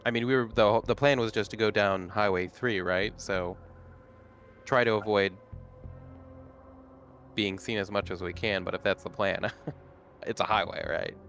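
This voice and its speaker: A man's voice: lowish voice, steady tone, a little slow, pauses often, fairly bright tone, slight background noise. A man playing a tabletop roleplaying game, talking casually and a little tentatively as he thinks aloud. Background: music under the voice.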